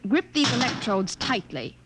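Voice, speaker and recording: An actress playing a cool, repressed science professor in a 1950s radio drama, formal, clipped and clinical. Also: female voice, fairly high pitch, big pitch swings, moderate pace. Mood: cool, restrained. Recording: old radio recording with faint noise.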